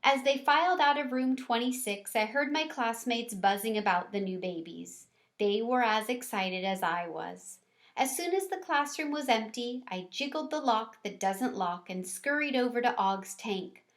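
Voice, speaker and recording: A woman with a fairly high voice reads aloud to children. She sounds casual and tells the story expressively, lifting her pitch for dialogue. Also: lively intonation, moderate pace. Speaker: reader of a children's book aloud.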